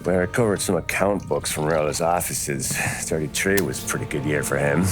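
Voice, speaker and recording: An actor playing a hard-nosed, skeptical detective in a game, dry and probing. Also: male voice, extremely low pitch, brisk, over music. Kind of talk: cinematic game dialogue.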